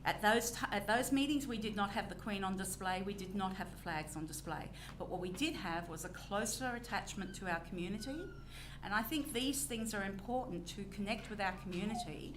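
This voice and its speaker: An Australian woman chairing a council meeting, answering public questions in a measured, deliberate way with frequent pauses. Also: mid-range voice. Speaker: council presiding member. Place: old council chamber. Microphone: recording microphone. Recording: noisy chamber recording.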